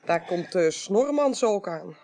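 A woman's voice: fairly low pitch, lively intonation, takes it slowly, a little mellow. An actress playing a polite, playful younger woman in a 1980s Dutch radio drama. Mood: wry. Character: bright, teasing younger woman, polite companion to the president.

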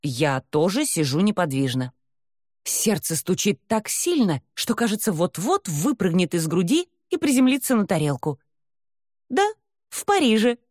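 A woman's voice: fairly low pitch, big pitch swings, moderate pace, a little mellow, no echo, studio quality. A woman narrating a novel in the first person, reading expressively with a dramatic touch. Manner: dramatic, acts out dialogue. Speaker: audiobook narrator.

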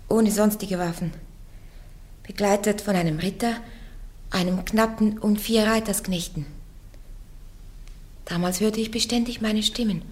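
A woman's voice: mid-range voice, close to an everyday voice. An actress in a German radio play playing a young peasant woman on trial, fearless and defiant, plain and curt in her answers. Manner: plain, matter-of-fact, curt when questioned.

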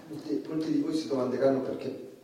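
A man's voice: medium pitch, steady tone, fast, lightly acted. A male stage actor playing a troubled, rambling, defiant stand-up comedian who stammers and repeats his phrases in a theatrical monologue. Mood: rambling, agitated. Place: theatre stage, indoors, recorded from afar. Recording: distant, echoey stage recording with faint noise.

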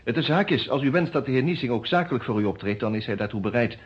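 An actor in a Dutch radio detective drama playing a composed, businesslike defence lawyer with a measured, formal manner. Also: male voice, mid-range voice, animated, husky. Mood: businesslike, reassuring. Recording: muffled old radio play recording.

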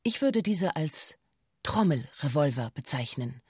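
A female bank employee delivers a formal witness statement in a radio play. Her voice sits fairly low and she stays precise and matter-of-fact. The recording is close and dry, with no room around it. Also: big pitch swings, measured pace, a little mellow, slightly rough, dry recording, exaggerated voice. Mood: sober, factual. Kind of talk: scripted radio play monologue in stiff police-statement language.

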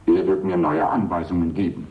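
An actor playing a dutiful, literal-minded old robot servant in a radio drama, speaking formally and politely. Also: male voice, deep voice, fairly even intonation, a little fast, natural voice. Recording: dull, muffled radio drama recording.

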